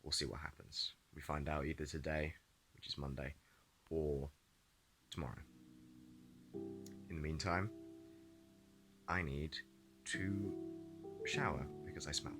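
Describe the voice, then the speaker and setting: A man's voice: a bass voice, fairly even intonation, unhurried, gravelly, pauses often, music in the background. A young man recording a casual video diary, chatty and trailing off between thoughts. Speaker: vlogger.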